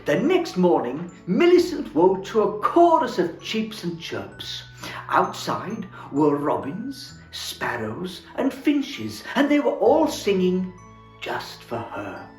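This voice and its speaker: A man playing Santa as storyteller, reading a children's book aloud in a theatrical, expressive way. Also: high voice, slow. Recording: roomy echo, faint music.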